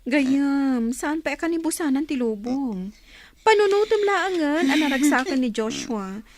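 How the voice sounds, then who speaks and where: A woman's voice: high voice, lively intonation, a little slow, no echo. A woman playing a concerned, exasperated young friend in an audio drama, pleading expressively with an Ilocano Filipino accent. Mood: concerned, coaxing.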